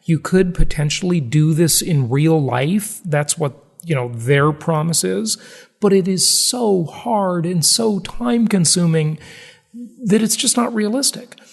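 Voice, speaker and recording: Male podcast host, fairly high-pitched. Casual and conversational, salesy, stresses points, likes to kid around. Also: animated, measured pace, creaky. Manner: emphatic, salesy, joking.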